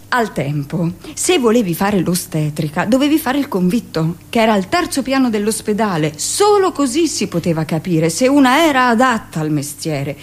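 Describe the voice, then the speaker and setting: A brisk, hard middle-aged midwife. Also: female voice, on the high side. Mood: matter-of-fact, proud. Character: seasoned midwife in her forties, brisk, hard, self-justifying. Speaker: actress in a radio monologue. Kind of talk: theatrical radio monologue, confessional storytelling.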